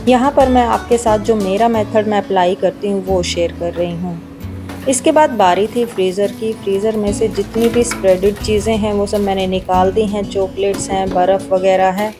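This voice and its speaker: A woman with an Indian accent giving a calm, step-by-step voice-over for a home cleaning video. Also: medium pitch, moderate pace. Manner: explains each step in a steady how-to tone. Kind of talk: calm scripted voice-over narration. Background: background music.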